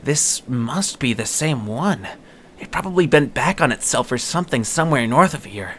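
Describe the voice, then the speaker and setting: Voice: mid-range voice, expressive, normal speed, a bit bright, slight background noise, close to an everyday voice. An actor playing an eager, matter-of-fact young adventurer in an audio drama, explaining things in a friendly way.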